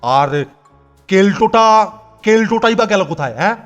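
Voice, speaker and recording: Cartoon hostel superintendent: high voice, short-tempered, blustering scold, light music underneath. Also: male voice, expressive, moderate pace, highs cut off. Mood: suspicious, flustered. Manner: scolding, blustery, repeats himself. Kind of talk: cartoon voice acting.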